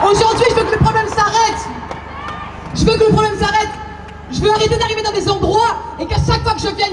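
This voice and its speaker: A woman in her twenties from Congo, giving an impassioned protest speech and shouting repeated calls to a crowd. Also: extremely high pitch. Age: 20s. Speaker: rally speaker. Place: outdoor square at a rally. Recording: echoey, far from mic, crowd and noise.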